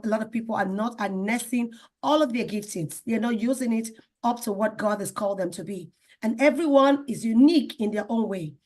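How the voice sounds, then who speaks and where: A woman's voice: a little high, a little mellow. A woman hosting a talk show in an enthusiastic, effusive manner, repeating phrases for emphasis. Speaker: show host.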